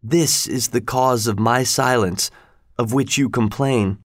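A stern Sultan in disguise, as a man narrating a storybook plays him, speaks solemnly and with reproach. His voice has a medium pitch and a commanding gravity. The recording is very dry. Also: takes it slowly, no room sound, close to an everyday voice. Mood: reproachful. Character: stern Sultan posing as a sickly male servant.

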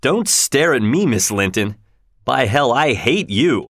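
A man's voice: medium pitch, lively intonation, unhurried, fairly bright tone, dry recording, clean studio sound. Audiobook villain: bitter, domineering father, mid-range voice, coldly civil or harshly commanding, read by a man. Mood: hateful. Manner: mock-polite, turning harsh and commanding. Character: menacing, cruel, bitter older father. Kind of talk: audiobook character voice.

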